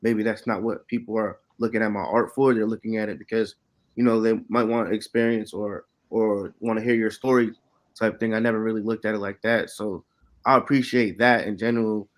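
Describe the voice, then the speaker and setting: A man in his late twenties, an artist, talking casually and haltingly on a panel with lots of "you know". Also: fairly low pitch, fairly even intonation. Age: late 20s. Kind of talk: casual unscripted artist talk.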